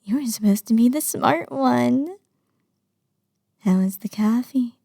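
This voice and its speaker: A woman playing a lovesick, manipulative young yandere under arrest in an intimate audio roleplay, with a breathy delivery that slips into whispers. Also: fairly high pitch, slow pace, mellow, dry recording, studio quality, heavily acted. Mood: smug, menacing. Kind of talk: intimate audio roleplay acting addressed to the listener.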